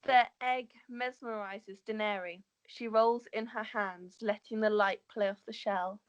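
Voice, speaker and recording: A woman's voice: mid-range voice, steady tone, a little slow, lo-fi sound. A woman narrating the stage directions of a script in an amateur table read, sometimes stumbling over words.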